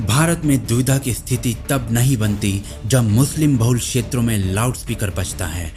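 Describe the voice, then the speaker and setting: A man's voice: mid-range voice, lively intonation, normal speed, over loud music. A man presenting a scripted explainer narration.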